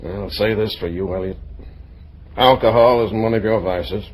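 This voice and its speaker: A man's voice: on the low side, normal speed, natural voice, rough recording. An actor playing a weary, wry, blustery middle-aged father in an old radio comedy-drama. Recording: hissy old radio recording.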